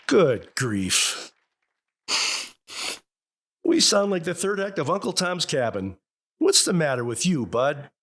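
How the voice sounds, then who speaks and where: A middle-aged American family father from a 1950s radio comedy. His voice swings widely in pitch as he questions and takes charge, good-natured yet easily exasperated. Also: very animated, takes it slowly, pauses often, a bit bright, a little hiss or hum, full character voice. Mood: mock exasperation.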